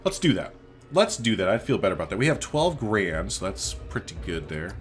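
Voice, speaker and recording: Low-voiced, animated man doing game commentary. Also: fairly quick, faint music behind. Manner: chatty, playful, giggly, thinks aloud. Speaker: gaming video host. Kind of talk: casual let's play commentary.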